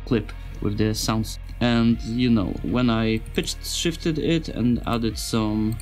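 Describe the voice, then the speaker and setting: Halting, slow-talking non-native English-speaking man. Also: on the low side, soft background music. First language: not English. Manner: halting, searching for words, simple phrasing. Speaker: sound designer giving a tutorial. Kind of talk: informal software tutorial narration.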